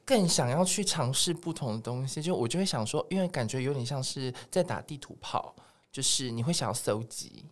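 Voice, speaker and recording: A man with a Taiwanese Mandarin accent chatting casually as an interview guest, in an animated manner. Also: medium pitch, a little fast. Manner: animated, breaks often into laughter. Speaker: podcast guest, music producer.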